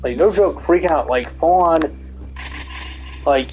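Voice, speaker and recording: A man's voice: fairly high pitch, takes it slowly, a little mellow, rough recording. Rambling stuffy-nosed man with faint music behind. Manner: rambling, many fillers and restarts; swears casually. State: stuffy nose. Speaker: commentary YouTuber. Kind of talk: casual gaming commentary rant.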